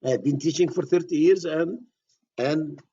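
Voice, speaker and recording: Middle-aged male engineering instructor, Egyptian accent, slightly higher pitch, husky, dull lo-fi recording. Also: measured pace, warm tone. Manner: repeats key words, restarts phrases. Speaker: surveying instructor. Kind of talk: classroom lecture.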